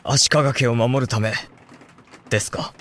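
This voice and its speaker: A man's voice: medium pitch, steady tone, unhurried, close to an everyday voice. A man acting an earnest young samurai heir in a period voice drama, urgent, formal and emphatic. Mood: troubled.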